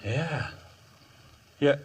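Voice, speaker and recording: An actor playing a dangerous, taunting outlaw gang leader in a 1950s Western radio drama. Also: male voice, a little high, drawn-out words, breathy edge, heavily acted. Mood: menacing. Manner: taunting, boisterous drawl. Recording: 1950s radio broadcast recording.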